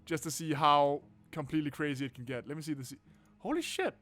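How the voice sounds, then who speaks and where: A man with a fairly high voice hosting a game playthrough. He reads narration and comments casually and expressively, swearing freely, with faint music behind him. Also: big pitch swings, brisk, fairly bright tone. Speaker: gaming video host.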